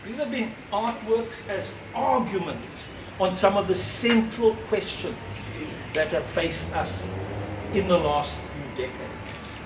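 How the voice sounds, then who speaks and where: A man in his sixties giving a reflective, measured speech to an audience at a gallery opening, with pauses between phrases. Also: high voice, lively intonation. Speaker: speaker at an exhibition opening. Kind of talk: unscripted public speech. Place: gallery entrance, indoors facing an audience. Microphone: far camera, no mic seen. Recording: roomy, distant, noisy ambience.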